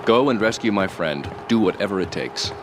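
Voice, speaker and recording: A man voicing a suave, scheming rich tycoon who speaks in a calm, polished, dryly ironic way. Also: low voice, moderate pace, background noise. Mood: smooth.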